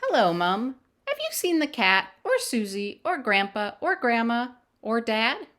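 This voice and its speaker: A woman's voice: fairly high pitch, sing-song, measured pace, fairly bright tone. A woman voicing an earnest, polite young storybook child with a questioning lilt and exaggerated politeness. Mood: earnest, curious.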